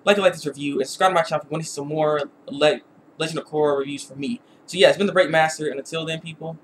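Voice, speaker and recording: A young man hosting a casual online episode review, talking in an excitable, slangy, rambling way and repeating himself. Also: on the high side, very fast, a little hiss or hum. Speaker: YouTube reviewer and host.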